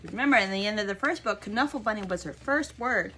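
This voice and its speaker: A woman reading a picture book aloud to young children, expressive and often pausing to ask them questions. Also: medium pitch, husky. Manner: expressive, prompting listeners with questions.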